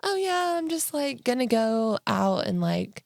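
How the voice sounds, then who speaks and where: A woman's voice: fairly high pitch, sing-song, a little slow, vocal fry, darkish tone, no room sound, clean studio sound. A woman co-hosting a podcast in a casual comedic chat, blunt and teasing with crude humor. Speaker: podcast co-host.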